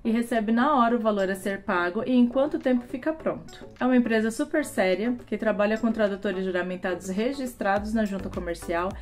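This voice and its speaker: A woman's voice: mid-range voice, fairly quick, few pauses, faint music behind. A young woman with a Brazilian Portuguese accent, explaining things to camera in a vlog from a small home office, gesturing with her hands as she talks. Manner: lively, gesturing with both hands. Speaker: YouTuber.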